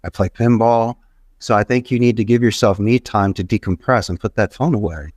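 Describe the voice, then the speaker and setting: A man's voice: on the low side, a little fast, a little mellow, dry recording, clean studio sound. A middle-aged man from Philadelphia, a guest in an interview, giving rambling motivational business advice with emphatic, repeated phrases. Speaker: podcast guest, call center entrepreneur.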